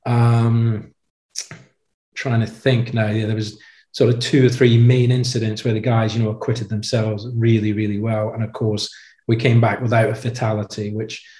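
A man's voice: lowish voice, normal speed. Chatty middle-aged man, roomy echo. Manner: chatty, animated anecdotes with frequent "you know". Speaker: podcast guest, former commando. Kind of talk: casual storytelling chat. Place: indoor room with a brick wall. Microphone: video call webcam.

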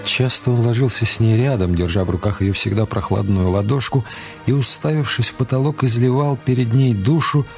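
A man's voice: medium pitch, a little fast, over music. A man reading scripted literary narration as the narrator of a radio play.

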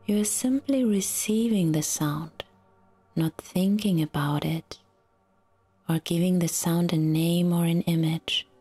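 A woman guiding a relaxation meditation in a soft, soothing, half-whispered voice with long pauses. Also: fairly low pitch, breathy edge, soft background music. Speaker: yoga nidra guide.

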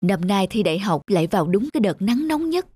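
A woman voicing a gentle, caring elderly village mother in a cartoon. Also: medium pitch, very animated, fast, slightly rough, no echo, soft background music. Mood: tender. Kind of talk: cartoon dubbing.

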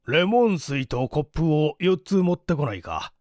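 A man's voice: fairly high pitch, very animated, normal speed, darkish tone, no echo, studio quality. A man voicing a hearty, commanding but courteous landowner in a mystery audiobook. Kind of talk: audiobook character acting.

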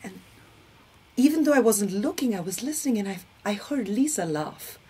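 A woman in her thirties with an Indian accent and Hindi as her first language, giving personal testimony in an expressive, emphatic way. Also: mid-range voice, normal speed. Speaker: interview guest, spiritual community member. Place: indoor set with curtain backdrop, seated. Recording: clear background noise.

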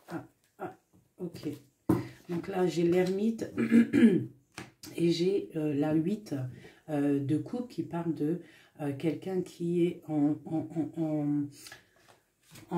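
A woman with a low, dark, mellow voice chats her way through a tarot reading at a table. She talks casually, fills pauses with hesitations, and calls her viewers pet names. A faint hiss sits behind her. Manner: chatty, many fillers, affectionate asides to viewers. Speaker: tarot reader. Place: indoors at a table with tarot cards.

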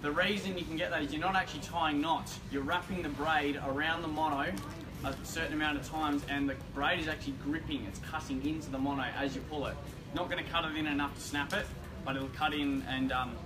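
A man's voice: fairly high pitch, steady tone, fairly quick, a crowd in the background. A young Australian man giving a casual, informal explanation in a live demonstration at a shop. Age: young adult. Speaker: fishing shop staff presenter. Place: indoor fishing tackle shop. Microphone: distant camera microphone. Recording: distant mic, loud shop background noise.

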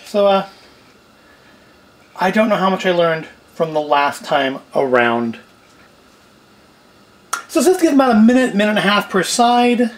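A man's voice: high voice, sing-song, a little slow, long pauses, slight background noise. A man hosting a homemade food video, rambling casually and wryly as he thinks aloud while cooking. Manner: wry, self-questioning, thinking aloud. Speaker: host of a food review video. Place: indoors at home, at a table and later in a kitchen.

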